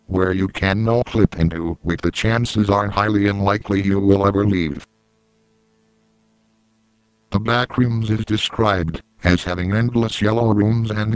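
A man playing a grave, impersonal emergency alert announcer in an analog horror broadcast, formal and deadpan. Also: fairly low pitch, clear, resonant tone, no room sound, natural voice, rough recording.

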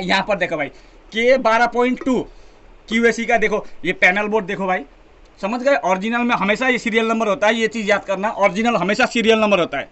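High-voiced young man presenting audio gear. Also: a bit bright. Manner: emphatic, repeats phrases, addresses viewers as brother. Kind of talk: casual product showcase to camera. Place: indoor electronics showroom.

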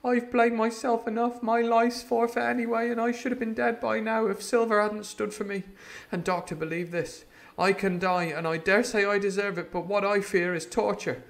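A man's voice: flat delivery, normal speed, vocal fry. Man playing a pleading young cabin boy. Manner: pleading, breathless, high-strung. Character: earnest frightened teenage boy, cabin boy.

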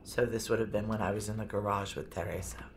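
A woman's voice: rumbling low, fairly even intonation, quick pace, a little hiss or hum. An actress playing a tough, guarded, suspicious woman on the run in a noir audio drama, speaking in clipped, hard-boiled phrases. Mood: cold, accusing. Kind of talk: noir audio drama acting with first-person narration.

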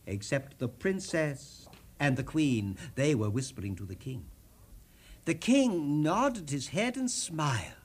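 A man hosting as storyteller, reading a children's storybook expressively with theatrical, dramatic pauses. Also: mid-range voice, husky. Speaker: storyteller, TV host.

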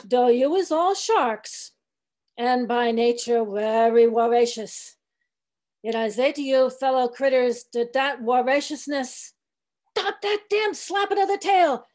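A grumbling old ship's cook mumbles a sermon with sullen weariness, as a woman reading aloud puts him on. The delivery is slow and high-pitched for a man. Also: a little mellow.

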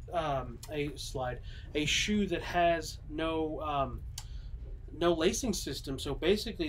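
A young man casually showing bowling shoes in a small pro shop, relaxed and full of ums and uhs, heard from a camera a few meters away. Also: fairly high pitch, slight background noise, rough recording. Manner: easygoing, many ums and uhs, showing items in hand. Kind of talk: casual product walkthrough. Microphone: camera mic at a few meters.

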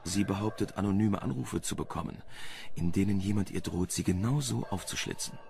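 Crime radio play: suave, sardonic reporter, lowish gravelly voice, music underneath. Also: male voice, fairly quick, dark tone. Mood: dry, informative. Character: wry, flirtatious investigative male journalist. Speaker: radio drama actor.

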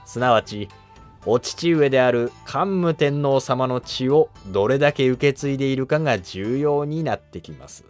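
An actor playing a humble, cautious monk who advises an emperor in formal, deferential court speech in a historical drama. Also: male voice, mid-range voice, moderate pace, soft background music, lightly acted. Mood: calm, advising. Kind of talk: Japanese historical drama dialogue.

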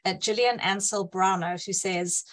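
A woman interviewer and academic, moderating a conversation in a measured, articulate way. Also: medium pitch, steady tone, a bit bright.

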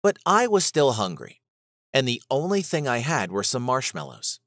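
A man reading a scripted children's story aloud as narrator, in an expressive manner. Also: medium pitch, moderate pace, vocal fry, no echo, clean studio sound.

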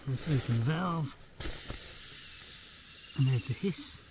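An older Australian man chatting casually as he vlogs from a bush campsite, relaxed and rambling with fillers. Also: medium pitch, slow pace, heavy background noise. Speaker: outdoor gear vlogger. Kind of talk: casual vlog narration. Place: outdoors in bushland at a trail shelter. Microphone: action camera on the body or nearby. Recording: outdoor camera audio with clear bush ambience.

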